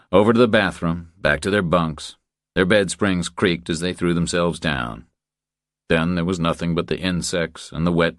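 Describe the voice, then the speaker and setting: A man with a low, deep voice narrates a thriller audiobook. The delivery is scripted storytelling, and the recording is dry, with no room sound around the voice. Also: no echo.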